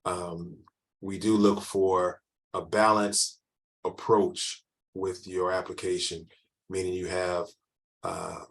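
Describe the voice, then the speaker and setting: A deep, low-pitched man answers questions from an online audience as an admissions counselor. He talks loosely, circling back and restarting his sentences with frequent fillers. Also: animated, takes it slowly, vocal fry, pauses often. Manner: rambling, restarts often, many fillers. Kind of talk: informal webinar Q&A.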